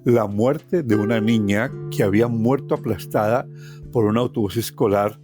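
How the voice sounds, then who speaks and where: A man's voice: lowish voice, normal speed, music in the background. A man from Colombia with a Colombian accent, telling his own life story as a guest. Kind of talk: personal storytelling.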